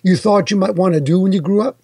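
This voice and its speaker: A man's voice: sits high, fast talker, dark tone, husky, no room sound, studio quality. A man from New York whose first language is Italian, hosting a casual interview in a chatty, friendly way. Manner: chatty, friendly, filler-heavy. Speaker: interview host.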